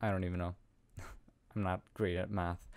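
A man's voice: sits low, little pitch movement, normal speed, gravelly, no room sound. A young man of about eighteen from Iceland, answering viewer questions in a casual, rambling way with plenty of ums and self-deprecating laughs, recorded at home on a studio mic. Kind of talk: casual Q&A chat. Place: small home room, indoors. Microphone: studio condenser mic with pop filter, wearing headphones.

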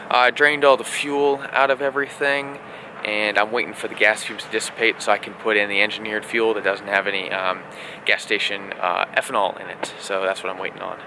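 A young man giving a casual how-to vlog while working in a workshop garage, relaxed and full of uh and um. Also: lowish voice, background noise. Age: young adult. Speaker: vlogger doing equipment maintenance. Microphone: action camera close up.

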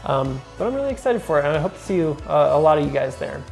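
A young man hosting a casual talk to camera, enthusiastic and chatty with many fillers, in a bright indoor room. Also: on the high side, big pitch swings, quick pace, creaky, faint music behind. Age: young adult. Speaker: host.

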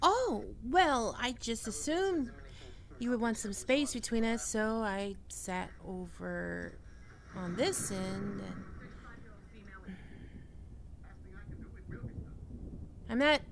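A woman's voice: on the high side, expressive, slow, gravelly, full character voice. A woman playing a skittish, defensive young catgirl roommate in an ASMR roleplay, stammering and prickly to hide her fear. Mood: flustered, defensive.

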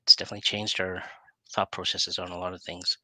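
A man's voice: deep voice, little pitch movement, fast, pushed and bright, no room sound, poor audio. A middle-aged man hosting a casual interview over a headset, asking questions in a rambling, informal way. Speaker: interview show host. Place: plain indoor room, video call.

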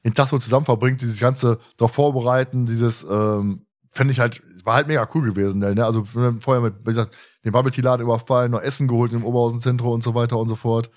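A man's voice: mid-range voice, steady tone, fast talker. A man hosting a podcast in casual chat, with a colloquial, jokey way of talking. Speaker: podcast host.

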